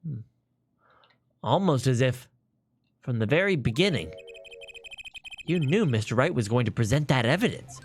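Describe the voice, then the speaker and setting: A man voicing an earnest young lawyer who reasons things through out loud, with lively pitch over faint game music. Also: moderate pace, pauses often, close to an everyday voice. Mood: probing. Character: earnest young male defense attorney.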